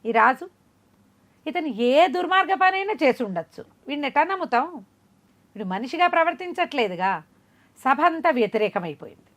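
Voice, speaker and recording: A woman storyteller retelling an old folk tale in a lively, conversational manner. Her voice sits fairly high for a woman, and she keeps a chatty, animated flow as she moves through the tale. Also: normal speed, pauses often.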